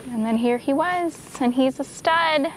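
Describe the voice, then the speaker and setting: High-voiced woman outdoors. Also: a little slow, slight background noise. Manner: laughing, brief answers. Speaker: hunting client. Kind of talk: casual outdoor chat. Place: outdoors in dry bushveld.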